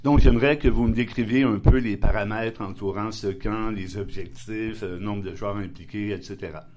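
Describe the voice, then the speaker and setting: A man interviewing two soccer coaches, asking polite and measured questions. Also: lowish voice, fairly even intonation, darkish tone, a little hiss or hum. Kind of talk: radio interview.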